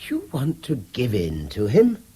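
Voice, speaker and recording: Educated English tutor under siege, husky, animated, clipped; 1970s radio drama. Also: male voice, mid-range voice, unhurried, exaggerated voice. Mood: firm, tense. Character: conscientious male tutor under a slander campaign, strained, forthright. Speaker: actor in a radio play.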